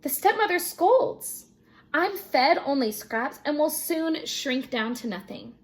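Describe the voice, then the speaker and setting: Woman playing a high-voiced earnest young herd boy. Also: expressive, slow pace. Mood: plaintive. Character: small plucky thirteen-year-old Irish herd boy, plaintive.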